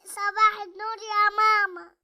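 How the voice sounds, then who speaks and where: A woman's voice: very high-pitched, little pitch movement, moderate pace, pushed and bright, no room sound, clean studio sound, heavily acted. Woman doing a timid little Egyptian kid. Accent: Egyptian Arabic. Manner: hesitant, fearful. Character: timid young child sibling.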